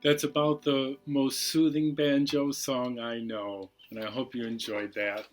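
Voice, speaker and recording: A man hosting a storytime, reading bedtime stories to children with unhurried, deliberate phrasing. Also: mid-range voice, flat delivery, over music. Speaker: library storytime host.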